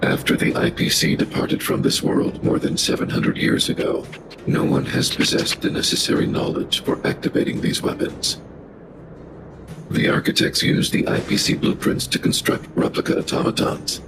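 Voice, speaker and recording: A man voicing a big, formal combat robot in a measured, emotionless, synthetic manner. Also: very noisy. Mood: impassive, formal. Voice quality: very deep, gravelly. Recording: loud music behind.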